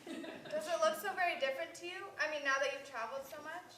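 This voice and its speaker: Touchy, earnest young stage heroine, played by a woman: high, quick, recorded from afar with echo. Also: a crowd in the background, close to an everyday voice. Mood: shy, eager. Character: earnest, touchy small-town young woman, easily hurt. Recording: echoey, noisy, distant stage recording.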